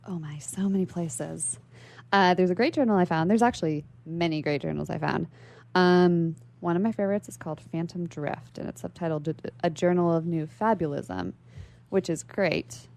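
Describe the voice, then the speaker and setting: A woman with a creaky voice speaking as an interview guest, explaining literary ideas in a thoughtful, conversational way. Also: fairly low pitch, normal speed, darkish tone. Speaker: library worker. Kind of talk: conversational literary discussion on a radio show.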